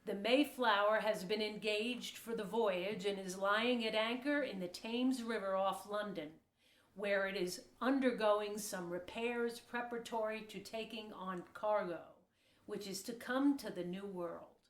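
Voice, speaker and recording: Slow-paced woman narrator, echoey room. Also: mid-range voice. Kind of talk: scripted historical narration.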